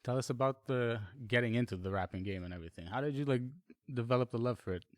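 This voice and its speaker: A man's voice: medium pitch, fast talker, a little mellow, no room sound, studio quality. A man hosting a podcast, chatting casually with slang and free swearing. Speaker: podcast host. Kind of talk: casual chat, interviewing.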